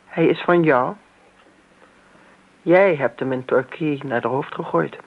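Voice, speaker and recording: An actress playing a wounded, sardonic grown daughter in a radio drama, speaking pointedly. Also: female voice, low-pitched, slow pace, exaggerated voice. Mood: reproachful. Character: reproachful, wounded grown daughter defending her mother. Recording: thin, phone-like old radio recording.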